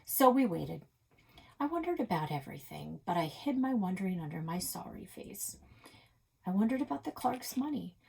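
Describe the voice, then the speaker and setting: A woman's voice: medium pitch, very animated, moderate pace, vocal fry, darkish tone, breathy edge. A woman reading a children's novel aloud as its first-person narrator, expressively, leaning on key words. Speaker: read-aloud reader for a public library.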